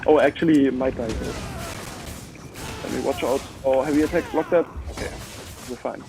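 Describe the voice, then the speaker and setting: A man's voice: medium pitch, normal speed, gravelly, poor audio. German-accented man narrating his gameplay. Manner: relaxed, chatty, many filler words. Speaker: gamer. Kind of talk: casual gameplay commentary.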